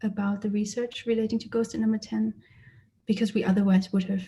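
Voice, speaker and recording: A woman who grew up in Germany, an artist giving a lecture about her exhibition and speaking thoughtfully with frequent pauses and fillers. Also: mid-range voice, little pitch movement, dark tone. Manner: hesitant, many fillers and restarts, thoughtful. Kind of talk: lecture presentation. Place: lecture or online presentation.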